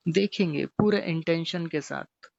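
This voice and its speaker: A man teaching guided meditation, giving gently repetitive, step-by-step instructions. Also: on the high side, measured pace, creaky, no room sound, dull audio.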